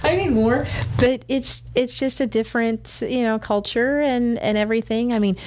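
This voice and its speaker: A woman with a slight northern US accent, a 911 communications manager chatting as a podcast guest, rambling through stories with quick asides and frequent laughs. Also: fairly high pitch, darkish tone, a little hiss or hum. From northern United States. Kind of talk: casual podcast chat.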